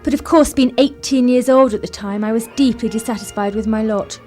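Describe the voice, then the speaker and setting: A woman with an English accent playing a discontented, sharp-tongued young upper-class lady in a radio play. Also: on the high side, fairly quick, soft background music, natural voice. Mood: wry reminiscence. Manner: disdainful, articulate. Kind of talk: radio play narration and acting.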